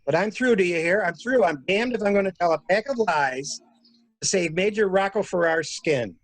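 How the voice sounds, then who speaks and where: Man playing husky, sardonic amateur interrogator. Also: sits high, expressive, moderate pace, no echo. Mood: angry accusation. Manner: probing, insistent, theatrical. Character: stranded male traveler turned sharp, sardonic amateur interrogator. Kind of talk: dramatic reading of a murder mystery.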